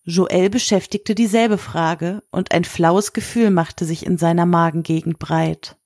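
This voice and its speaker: A woman narrating a scripted story in a measured, literary reading style. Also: fairly low pitch, fairly even intonation, a little mellow, dry recording, studio quality.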